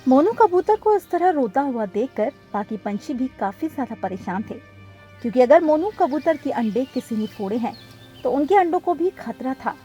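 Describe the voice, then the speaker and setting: A woman's voice: high voice, sing-song, moderate pace, warm tone, faint music behind. A woman narrating a children's cartoon story from a script.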